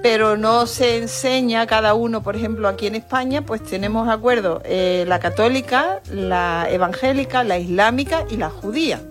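A woman's voice: on the high side, normal speed, few pauses, faint music behind. A woman, a religion teacher, explaining things earnestly and steadily on a panel talk show.

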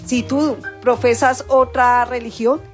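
A woman guest on a panel, explaining clearly and firmly. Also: fairly high pitch, flat delivery, slow, soft background music.

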